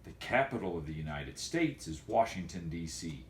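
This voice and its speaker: A man speaking as a teacher and host of a homemade video for students, in a folksy, wordy, deliberate way. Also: deep voice, very animated, echoey room, a little hiss or hum. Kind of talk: casual educational vlog for students, with read-aloud.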